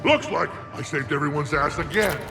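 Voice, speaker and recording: Gravelly, boastful alien brute. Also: male voice, on the high side, expressive, moderate pace, reverberant, far from the mic. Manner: bellowing battle taunts. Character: huge boastful alien Krogan warrior, brawling and gleeful. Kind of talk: video game combat acting. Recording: loud game music and battle behind.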